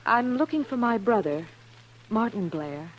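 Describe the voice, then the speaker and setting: A woman's voice: on the high side, expressive, a little slow, dull audio. An actress playing a trusting, genteel young lady in a 1950s radio western, speaking politely and earnestly. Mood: polite, hesitant. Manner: polite, soft-mannered, earnest. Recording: noisy 1950s radio recording with hum.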